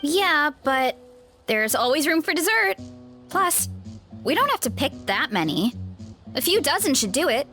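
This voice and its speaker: High, lively, cheerful young woman. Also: a little slow, long pauses, faint music behind, heavily acted. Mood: fond, chatty. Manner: breezy, chatty, animated. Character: cheerful, hearty young tribeswoman who loves eating. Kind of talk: video game voice acting.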